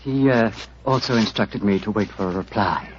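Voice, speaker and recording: An actor playing a deferential, apologetic manservant, polite and hesitant, in 1940s radio drama style. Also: male voice, fairly low pitch, moderate pace, close to an everyday voice. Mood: apologetic, insistent. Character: deferential, apologetic English manservant delivering a message. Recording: muffled, noisy vintage 1940s radio recording.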